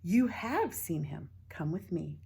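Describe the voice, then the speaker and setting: A wise, kindly woman from a storybook speaks gently, asking patient questions, as a woman reading aloud gives her voice. The tone is soft and a little husky, close to the reader's own. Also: fairly low pitch, very animated, takes it slowly, heavily acted. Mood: gentle. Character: wise gentle older woman, a spirit's sister.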